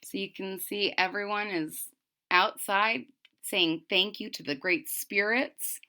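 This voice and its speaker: Slow, bright-voiced woman reading a storybook. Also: mid-range voice. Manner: deliberate, explains to young listeners. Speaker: storybook reader. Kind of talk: expressive children's read-aloud with simple asides.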